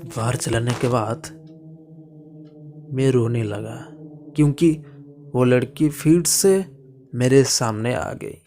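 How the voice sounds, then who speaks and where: Very slow male horror storyteller over music. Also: medium pitch. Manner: halting delivery in short broken phrases, sometimes hushed. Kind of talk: dramatic first-person horror narration. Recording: music bed behind the voice.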